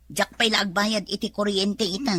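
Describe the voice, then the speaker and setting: Exasperated Ilocano housewife, bright voice. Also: female voice, mid-range voice, steady tone, normal speed. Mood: resigned. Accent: Filipino (Ilocano). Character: long-suffering, exasperated housewife, thrifty and sarcastic. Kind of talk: Ilocano comedy radio drama acting.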